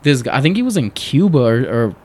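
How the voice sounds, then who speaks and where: A man's voice: mid-range voice, very animated, a little fast, darkish tone, slight background noise. Rambling man chatting as a guest. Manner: rambling, profane, lots of fillers. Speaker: podcast guest. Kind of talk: casual chat.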